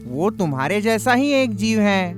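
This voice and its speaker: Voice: mid-range voice, sing-song, unhurried, faint music behind. An actor voicing a fed-up, complaining farm animal in a children's cartoon.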